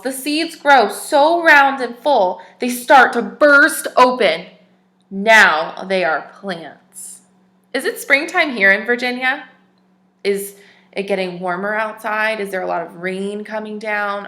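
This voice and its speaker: A woman teacher reading a picture book aloud to young children, expressive and stopping to ask them questions. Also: mid-range voice, echoey room.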